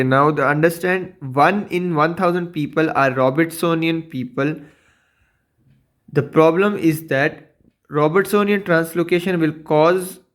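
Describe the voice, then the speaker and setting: A man lecturing in an explanatory science lesson, didactic, posing questions and then answering them himself. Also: on the high side. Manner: didactic, asks and answers his own questions.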